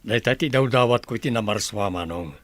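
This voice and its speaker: A man playing a gentle, respectful younger brother and devoted father in a radio drama. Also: on the low side, fairly quick, natural voice. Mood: earnest.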